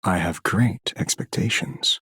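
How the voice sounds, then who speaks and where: An actor playing a composed, curious and calculating man in a game, speaking in a measured, formal and deliberate way. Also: deep voice, background noise. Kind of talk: scripted game character voice acting.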